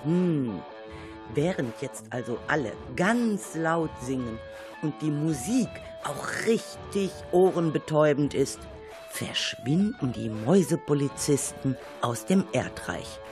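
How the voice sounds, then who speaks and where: A woman narrates a children's radio story at an unhurried pace. Her voice sits slightly low and is expressive and dramatic, building suspense over clearly audible music.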